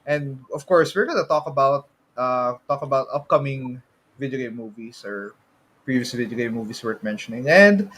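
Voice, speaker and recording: A man's voice: mid-range voice, expressive, normal speed, a bit bright, breathy edge, rough recording. A man with a Filipino accent hosting a casual podcast, mixing Tagalog particles into his English. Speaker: podcast host.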